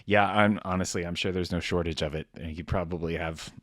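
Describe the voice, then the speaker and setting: A low-pitched man hosts a relaxed interview, asking rambling questions and nodding along with quick agreement. The sound is dry and close. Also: no room sound. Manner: affable, agreeable, lots of backchannel. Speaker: podcast host. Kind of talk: casual interview chat.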